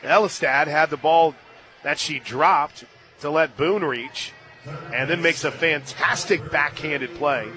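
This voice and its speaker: A man calls the game play by play from a stadium radio booth, his voice bright and slightly high. He keeps a brisk, steady patter and lifts into excited shouting when the action breaks. Faint crowd ambience sits under his headset mic. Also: a little hiss or hum. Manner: brisk, surges into excited shouting on big plays. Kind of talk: live sports play-by-play.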